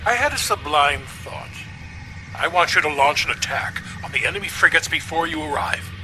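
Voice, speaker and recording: A man's voice: fairly high pitch, expressive, moderate pace, over music, close to an everyday voice, rough recording. A man playing a cruel, domineering older baron and father in a video game cutscene, pompous and mocking. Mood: scheming, pleased.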